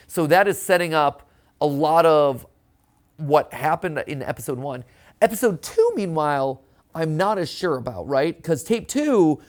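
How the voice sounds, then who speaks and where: A man with a slightly high voice hosts a casual reaction show. He talks through theories in an animated, conversational manner, often tagging his points with a quick question.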